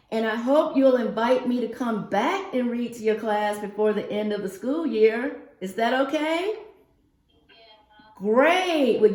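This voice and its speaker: A woman reading a picture book aloud to young children, expressive and addressing them directly. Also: fairly high pitch. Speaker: guest picture-book reader for a school class. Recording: roomy, echoing virtual-reading audio.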